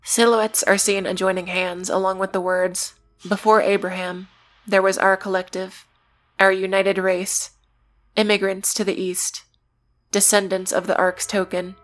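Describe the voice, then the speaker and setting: A woman narrating a scripted video essay as its presenter, with casual, conversational asides. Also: medium pitch. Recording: music behind the voice. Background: background music.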